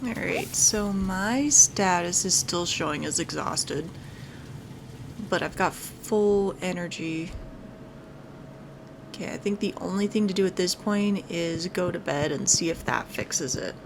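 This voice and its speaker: A woman's voice: lowish voice, unhurried, vocal fry, background noise. A woman hosting a gaming video with casual, chatty commentary, thinking aloud as she plays. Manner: chatty, thinking aloud, reads game dialogue aloud. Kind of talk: casual let's-play commentary. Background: background music.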